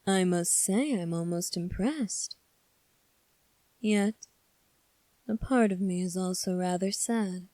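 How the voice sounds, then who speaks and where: A woman voicing a composed, coolly defiant young fantasy prince in an ASMR roleplay, speaking formally and deliberately with long pauses. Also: lowish voice, mellow, no room sound. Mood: regretful, resolute.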